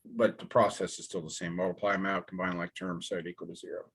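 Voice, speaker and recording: A very low-pitched man's voice, raspy and hoarse from a sore throat. He teaches math step by step, pausing often with ums and clearing his throat. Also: level tone, a bit bright. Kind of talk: classroom lecture walkthrough.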